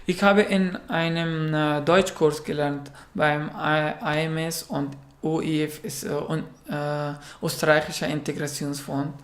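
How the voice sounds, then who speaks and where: A man from Afghanistan with an Afghan accent, whose first language is Pashto, answering interview questions in a halting way with many fillers. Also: on the high side, flat delivery, reverberant. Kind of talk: casual interview.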